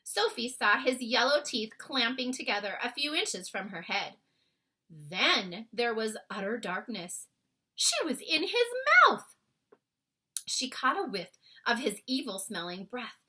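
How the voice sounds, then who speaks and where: Woman, storybook narrator, high voice, very bright and crisp-edged, expressive read-aloud delivery. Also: unhurried. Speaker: storybook reader.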